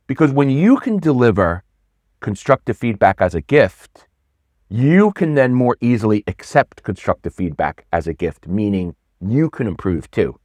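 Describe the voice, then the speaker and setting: Deep-voiced man, animated and repetitive. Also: normal speed, no room sound. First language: not Swedish; English speaker. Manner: animated, repeats words, lively stresses. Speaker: pitch coach guest. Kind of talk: casual interview talk.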